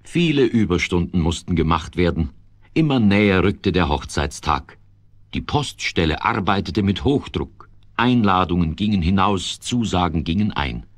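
A male storyteller narrates a children's radio play. His voice is deep and very expressive in pitch, recorded dry. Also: moderate pace, dry recording.

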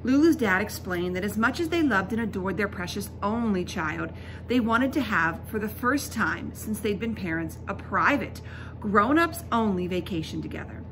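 A woman's voice: medium pitch, animated, normal speed. Middle-aged woman teacher reading aloud, faint noise. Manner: emphatic, instructive. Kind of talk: expressive children's book read-aloud. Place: indoors in a school classroom. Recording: classroom recording with background noise.

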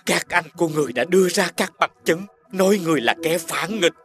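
A man playing a loyal, conscientious royal guard in an animated fairy tale, deferential and solemn. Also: fairly high pitch, fast talker, faint music behind. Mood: pained, dutiful.

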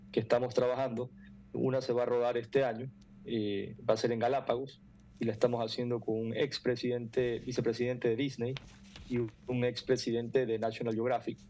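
A middle-aged man, explaining earnestly and haltingly as an interview guest on a video call. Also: medium pitch, dark tone. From Ecuador. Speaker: foundation president, interview guest. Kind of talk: interview answers. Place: room indoors at home or office.

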